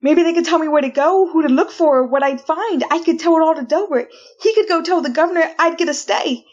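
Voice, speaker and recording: An actress playing a desperate, haunted woman prisoner condemned though innocent, telling her tale in theatrical, deliberate retro radio drama style. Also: very high-pitched, darkish tone, lo-fi sound. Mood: frantic hope.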